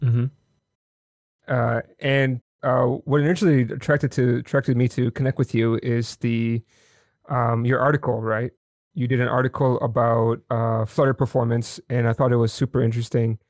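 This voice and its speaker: A man's voice: medium pitch, little pitch movement, normal speed, darkish tone, no echo, clean studio sound. A man hosting a casual podcast interview over a video call, chatty and apologetic, often tagging his statements with "right?". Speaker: podcast host.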